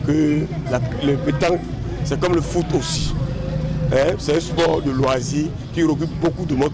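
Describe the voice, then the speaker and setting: A man with a Beninese accent, a local official giving halting, spontaneous interview remarks and repeating himself. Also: fairly high pitch, rough recording.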